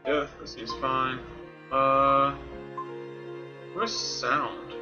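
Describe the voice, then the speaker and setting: A man's voice: mid-range voice, little pitch movement, extremely slow, dull audio. A man giving casual video game stream commentary, muttering to himself in an offhand way. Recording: game music behind the voice. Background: game music.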